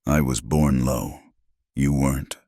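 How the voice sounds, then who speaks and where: A man's voice: takes it slowly, dark tone, dry recording, clean studio sound, exaggerated voice. A man voicing a distraught young woman who sobs and cries out in self-hatred, in a dramatic audiobook reading. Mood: anguished, sobbing. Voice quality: high, breaking, tearful. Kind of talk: dramatic storybook narration.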